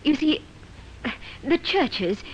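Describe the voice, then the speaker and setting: High-voiced, fluttery genteel widow. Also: female voice, big pitch swings, drawn-out words, full character voice. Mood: nervous, pleading. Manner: genteel, hesitant, plaintive. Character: genteel, fluttery widow under suspicion of murder. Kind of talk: 1950s radio drama acting. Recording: dull, noisy old radio recording.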